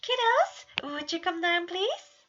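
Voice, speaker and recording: An eager, fatherly storybook man acted out by a woman speaks in a high, bright voice. He is perky and excitable, fussing cheerfully over his household. The recording is a little dull and lo-fi. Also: slow, a little hiss or hum, full character voice. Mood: cheery.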